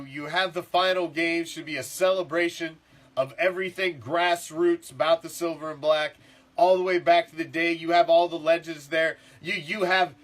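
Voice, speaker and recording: A middle-aged man, congested and rough-throated from the flu, ranting casually as a sports podcast host, swearing and repeating himself. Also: fairly high pitch, fairly bright tone. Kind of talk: profane casual sports rant.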